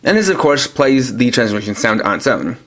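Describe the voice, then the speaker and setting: A man's voice: mid-range voice, sing-song, a little fast, a bit bright. A man giving a step-by-step, explanatory product demonstration as a toy reviewer.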